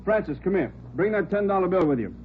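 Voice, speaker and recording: An obliging theater manager in vintage radio drama. He talks briskly in a middle register. The recording is narrow with slight noise. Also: sits high, animated. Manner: brisk, eager to cooperate. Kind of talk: old radio drama acting. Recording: tinny, faintly noisy old radio recording.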